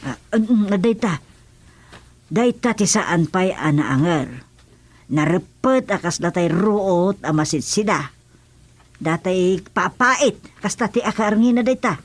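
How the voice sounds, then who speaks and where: A woman's voice: medium pitch, expressive, slow, no room sound. A woman playing an older village auntie in a radio comedy drama, bossy, chatty and helpful as she instructs. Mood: helpful, instructing.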